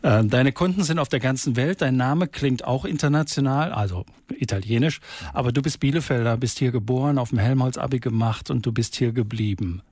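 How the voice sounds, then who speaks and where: A man's voice: medium pitch, fairly quick, darkish tone, no echo, clean studio sound. A man with a standard German accent presenting on local radio in a conversational style.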